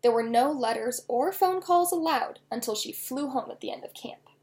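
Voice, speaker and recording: A woman author reading her own children's novel aloud in an expressive storytelling style. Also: high voice, normal speed.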